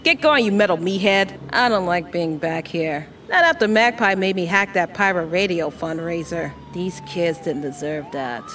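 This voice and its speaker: A woman's voice: fairly low pitch, animated, moderate pace, few pauses, fairly bright tone. An actress playing an edgy, sardonic female hacker in a crime gang, snappy and complaining. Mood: irritated. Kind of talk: dramatic audio-drama acting. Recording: distant, noisy with vehicle and sirens.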